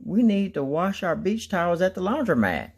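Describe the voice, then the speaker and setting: A man's voice: sits high, sing-song, normal speed, husky, exaggerated voice. A man voicing a friendly zebra in a children's storybook. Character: friendly zebra asking for a lift.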